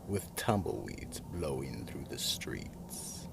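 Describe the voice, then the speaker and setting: A man narrating a scripted radio mystery play in a theatrical, dramatic manner, dropping to a hush at phrase ends. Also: rumbling low, very animated, slow pace, vocal fry, background noise.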